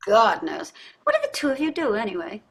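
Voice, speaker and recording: A woman's voice: on the high side, wildly swooping pitch, brisk, vocal fry, slightly rough, full character voice. An actress in a staged play reading, playing a wealthy, entitled society wife and mother with an affected, gushing manner. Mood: nosy, indignant. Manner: affected, gushing, effusive endearments.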